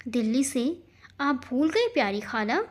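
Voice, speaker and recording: A woman voicing a cheeky, impulsive young woman in a story, pert, excitable and mock-innocent. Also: high-pitched, a little slow, exaggerated voice.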